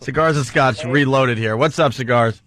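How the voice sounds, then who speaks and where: A man's voice: mid-range voice, normal speed, fairly bright tone, no echo, clean studio sound. A man hosting a radio talk show, chatting casually and fielding callers and topics.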